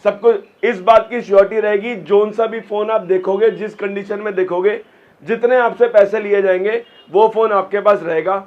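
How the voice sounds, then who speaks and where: This is a man with a fairly high voice, a little hoarse from a sore throat. He reels off phone prices in short, clipped lists, like a seller pitching in a small shop. The sound is dull and lo-fi. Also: fairly even intonation, normal speed, a little mellow.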